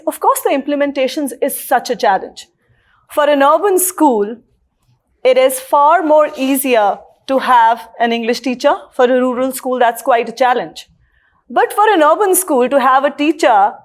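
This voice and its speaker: A woman whose first language is Punjabi, speaking as a school leader on a panel, calmly recounting what parents told her. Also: high-pitched. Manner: reflective, relays parents' views. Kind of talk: panel discussion.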